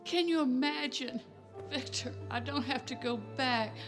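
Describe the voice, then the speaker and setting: A woman's voice: high-pitched, unhurried, music in the background. A woman playing an emotional, loving mother overwhelmed with relief in a dubbed film, speaking tenderly. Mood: relieved, joyful.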